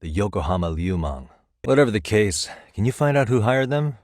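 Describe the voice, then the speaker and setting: Low-voiced, wry American detective. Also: male voice, very animated, brisk, a little mellow, dry recording, clean studio sound. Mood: casual, wry. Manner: casual, wry, conversational. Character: streetwise male private detective, cool, wry, dogged. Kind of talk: English video game voice acting.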